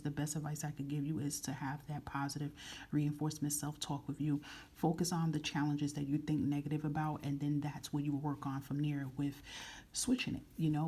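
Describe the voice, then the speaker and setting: A soft-spoken woman reading tarot cards to camera in a casual, frank way, often repeating phrases. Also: deep voice, breathy edge. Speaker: tarot reader.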